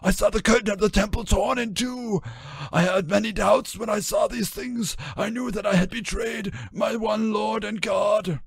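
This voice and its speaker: High, ragged, anguished penitent Judas. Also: male voice, steady tone, normal speed, vocal fry, slightly rough, no echo, clean studio sound, exaggerated voice. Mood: pleading. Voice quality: ragged, strained. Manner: melodramatic, crying out. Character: anguished, guilt-ridden, terrified Judas, weeping and pleading.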